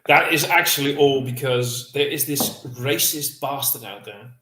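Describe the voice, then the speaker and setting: A man's voice: mid-range voice, unhurried, sharp tone, a little hiss or hum. Man, teasing and foul-mouthed co-host, roomy echo. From Netherlands. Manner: teasing, swears a lot. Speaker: co-host of a beer review livestream. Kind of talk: casual banter.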